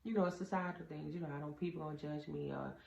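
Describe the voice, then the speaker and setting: A woman with a deep voice gives casual, straight-talking relationship advice to her viewers, calling them y'all with earnest conviction. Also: steady tone, quick pace, gravelly, a little mellow. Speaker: vlogger giving relationship advice. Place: indoor room with a door. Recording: roomy, slightly dull, faint ambient hum.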